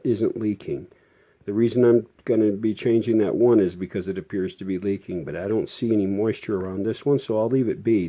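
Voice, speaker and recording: A man's voice: fairly low pitch, warm tone. An American man narrating hands-on electronics repair casually, in a plain-spoken, folksy, explanatory way. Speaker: host, electronics restorer.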